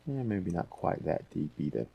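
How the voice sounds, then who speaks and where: A man hosting a casual software tutorial, thinking aloud in an unscripted way. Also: low voice, sing-song, moderate pace, creaky, husky, dry recording. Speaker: tutorial host.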